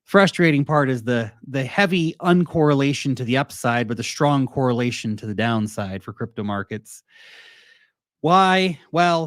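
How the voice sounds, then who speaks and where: Man, casual market commentary, rambling, talks to chat, mid pitch, dry close sound. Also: no room sound. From United States. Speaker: crypto livestream host.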